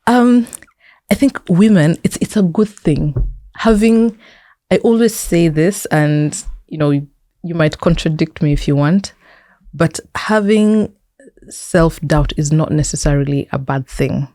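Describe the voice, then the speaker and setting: Low, mellow Kenyan woman, conversational. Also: a little slow, dry recording, clean studio sound. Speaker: interview guest, tech entrepreneur and founder. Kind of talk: conversational interview.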